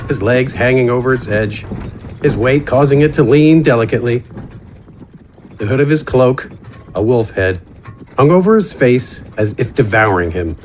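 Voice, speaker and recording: A man narrating a scripted fantasy story in a measured, descriptive storytelling manner. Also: medium pitch, a little hiss or hum. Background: horse and outdoor ambience effects.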